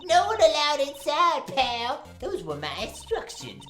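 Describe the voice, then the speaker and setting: Haughty old lady security guard, high, sharp, swooping pitch, scolding, game fandub, roomy sound. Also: huge pitch swings, soft background music, full character voice. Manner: haughty, scolding, drawn-out delivery. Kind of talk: amateur video game fandub acting.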